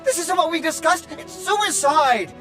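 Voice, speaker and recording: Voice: extremely high pitch, lively intonation, unhurried, music in the background. An actor voicing a fretful, formal droid in a video game, protesting in a prissy, theatrical way. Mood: panicked outrage.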